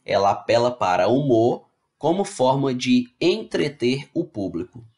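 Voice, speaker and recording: A man's voice: medium pitch, big pitch swings, measured pace, a little mellow. Male teacher explaining slides casually. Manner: explains casually, checks in with "né?" and "tá bom?"; reads slide text aloud, then rephrases it in plain words. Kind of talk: online class lecture over slides.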